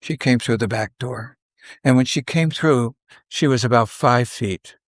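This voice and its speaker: A man's voice: medium pitch, a little slow, no echo. Older man telling stories, close dry sound. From South Philadelphia, United States. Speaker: podcast guest. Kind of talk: conversational storytelling, reminiscing.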